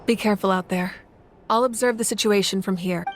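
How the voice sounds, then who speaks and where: A woman playing a gentle, solemn female guide in a video game, soft-spoken and earnest. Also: mid-range voice, brisk, noisy background, natural voice. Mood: concerned.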